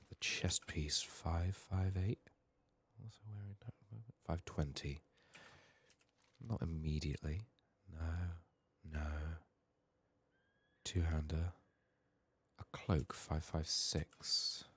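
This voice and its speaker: A man with a sick, strained voice murmuring soft-spoken ASMR gaming commentary, sometimes near a whisper, thinking aloud close to the mic. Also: steady tone, takes it slowly. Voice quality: husky, gravelly, very low. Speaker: game streamer making a playthrough.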